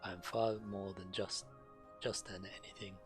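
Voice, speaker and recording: Deep-voiced man reading quest dialogue. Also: flat delivery, normal speed, music in the background. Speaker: game streamer.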